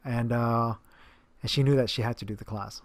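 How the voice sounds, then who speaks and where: A man in his role as a personal trainer speaks casually and earnestly, circling back with fillers and false starts. His voice sits at an ordinary middle pitch. The sound is dry and close, with no room around it. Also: fairly even intonation, moderate pace, dry recording. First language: English. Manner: rambling, many fillers and restarts, earnest. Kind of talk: casual talk to camera.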